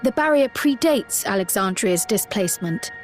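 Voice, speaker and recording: A woman's voice: mid-range voice, expressive, normal speed, soft background music, close to an everyday voice. A woman playing a precise, formal, learned character in a video game cutscene. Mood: analytical.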